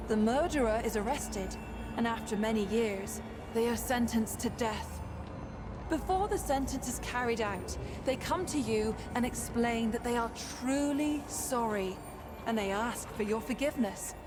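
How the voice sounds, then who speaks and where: A woman acts out a mysterious, otherworldly female seer for a fantasy game. The voice sits a little high and moves slowly, riddling and playfully probing. Music is clearly audible behind it. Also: lightly acted. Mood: measured, probing. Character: ethereal wandering female seer spirit, playful, cryptic, probing. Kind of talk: theatrical fantasy game dialogue.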